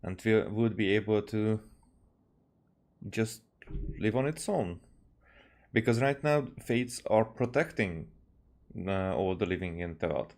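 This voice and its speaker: A man's voice: on the low side, lively intonation, takes it slowly, long pauses, darkish tone. A man giving casual game commentary as a streamer, hesitant and searching for his words as he explains.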